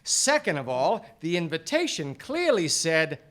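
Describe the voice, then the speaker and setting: An actor playing a self-assured, accusing 1940s gumshoe detective in a live radio play, with a declamatory delivery. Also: male voice, fairly high pitch, big pitch swings, measured pace, a bit bright. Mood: smugly deducing. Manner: theatrical, declamatory. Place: theatre stage before a live audience.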